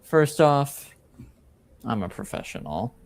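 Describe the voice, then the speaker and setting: A man's voice: medium pitch, big pitch swings, slow, gravelly, noisy background, exaggerated voice. A man voicing a cocky, unflappable informant for hire in a fantasy tabletop game, casual and defiant.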